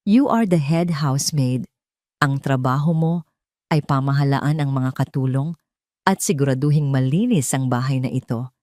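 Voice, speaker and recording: Woman playing cruel rich Filipino stepmother, low voice, cold, commanding, emphatic, audio story villain. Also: mellow, no echo, studio quality. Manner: slow, emphatic, threatening.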